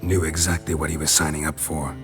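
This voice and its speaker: An actor playing a stern, world-weary monster hunter in a video game, with a gravelly voice and a terse, deadpan manner. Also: male voice, extremely low pitch, a little fast. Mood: grave, firm. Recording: loud music behind the voice.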